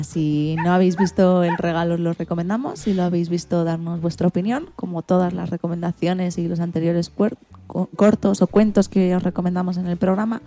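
Spanish lady from spain hosting radio, really dark mellow voice, lowish, scripted read. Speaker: radio show host.

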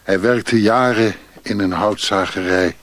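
A man's voice: on the low side, measured pace, a bit bright, no room sound. An actor playing an old exiled Chinese man, sly and melancholy, in a radio play. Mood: reflective. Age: older. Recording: noisy archive radio play recording.

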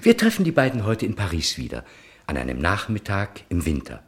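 A man's voice: low voice, huge pitch swings, brisk. A man narrating and announcing a German radio play in a scripted storybook style.

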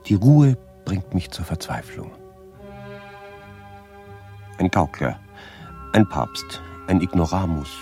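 An actor reciting in a 1970s radio drama as a grave, fiery man, with a weighty, halting delivery. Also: low-pitched, big pitch swings, vocal fry, mellow, soft background music, heavily acted.

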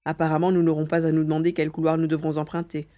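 A woman's voice: deep voice, monotone, fast talker, mellow, close to an everyday voice. A woman playing a wary, hesitant young navigator in a French audio drama. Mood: attentive. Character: Karl, wary young navigator grieving a dead father.

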